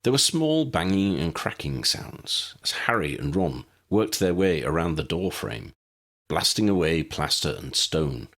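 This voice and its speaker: A man narrates a story in a very low, slightly husky voice. His pitch moves dramatically up and down as he reads the scripted tale like an audiobook. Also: very animated, a little slow, fairly bright tone. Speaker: audiobook narrator reading fan fiction.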